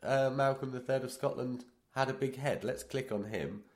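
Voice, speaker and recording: A man with a cold co-hosting a podcast, joking, teasing and reading aloud haltingly. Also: medium pitch, steady tone, echoey room. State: has a cold. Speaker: podcast co-host. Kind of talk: casual banter.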